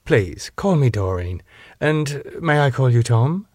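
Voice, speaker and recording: A man voicing a calm, genteel wife. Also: medium pitch, a little slow, slightly rough, no echo, studio quality. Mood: soothing. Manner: soothing, genteel. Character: polite, calm middle-aged wife of the owner.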